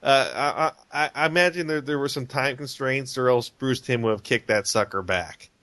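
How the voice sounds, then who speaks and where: A man hosting a relaxed podcast discussion, his speech full of ums, restarts and detours. His voice sits in a middle register, and the room sound is completely dry and close. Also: mid-range voice, normal speed, no room sound. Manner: rambling, many fillers and restarts. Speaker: podcast host. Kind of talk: casual chat about animated films.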